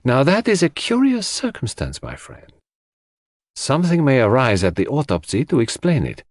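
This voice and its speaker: A man's voice: medium pitch, huge pitch swings, moderate pace, no room sound, clean studio sound, voice pushed up. A man voicing a fussy, shrewd older detective, precise and theatrical in an audiobook. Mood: deliberate, shrewd. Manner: precise, theatrical, formal phrasing. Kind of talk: audiobook character acting.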